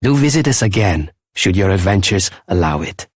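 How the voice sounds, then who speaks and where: An actor playing an earnest, gentle villager with a Portuguese accent in a video game, sincere, polite and husky. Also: male voice, sits low, animated, normal speed, no room sound, clean studio sound. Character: earnest, gentle villager, devoted child of a lost father. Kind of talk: video game character acting.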